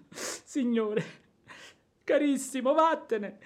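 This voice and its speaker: An actor playing a timid old household servant in an Italian radio drama, pleading in a plaintive, fretful, quavering way. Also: male voice, extremely high pitch, very slow, darkish tone, exaggerated voice. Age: older.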